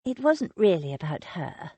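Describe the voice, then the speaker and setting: A woman voicing a shy but quietly defiant young woman in a storybook reading, speaking softly and earnestly. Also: lowish voice, very animated, moderate pace, husky, no echo, exaggerated voice.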